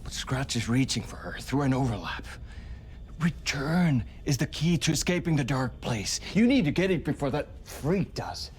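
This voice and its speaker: An actor playing a self-important male filmmaker and poet in a video game, speaking floridly with theatrical pauses and repetition. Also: mid-range voice, lively intonation, close to an everyday voice. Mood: urgent. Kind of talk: dramatic game acting. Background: faint music.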